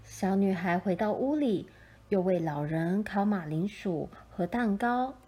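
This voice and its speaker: A woman narrating a children's picture book in a gentle, measured storytelling style. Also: mid-range voice, animated, slightly rough.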